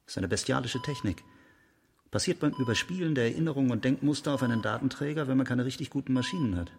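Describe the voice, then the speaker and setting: A man acting a sober, clinical doctor in a sci-fi radio play. His creaky voice rings through a long reverb. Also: medium pitch, quick pace, natural voice. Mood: clinical, grave. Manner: clinical, matter-of-fact. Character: sober male doctor examining a patient. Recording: long reverberant hall, faint noise.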